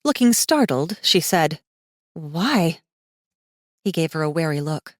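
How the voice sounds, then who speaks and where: A woman narrates a fantasy audiobook in a scripted storytelling style. Her voice sits a little low, recorded close and dry with no room sound. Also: lively intonation, moderate pace, no room sound.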